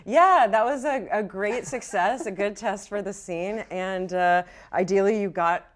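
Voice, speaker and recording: A woman game master narrating a tabletop game in a casual, halting, self-correcting way. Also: mid-range voice, a little hiss or hum.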